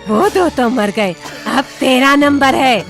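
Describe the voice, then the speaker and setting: A woman playing a vengeful witch ghost in an animated horror story, gloating and taunting with drawn-out threats. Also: high-pitched, soft background music.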